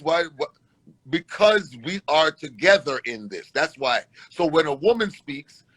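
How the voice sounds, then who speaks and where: Man, host, husky, slightly high pitch, rambling, rhetorical questions. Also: fairly bright tone, rough recording. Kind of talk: panel discussion.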